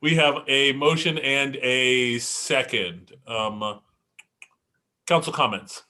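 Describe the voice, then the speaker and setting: A man chairing a council meeting as its president, speaking in a brisk, formal procedural way. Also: medium pitch, pushed and bright.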